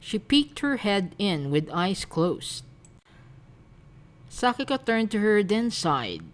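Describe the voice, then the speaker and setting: A woman narrating a fanfiction aloud in a gentle, expressive storybook reading. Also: mid-range voice. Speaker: fanfic reader.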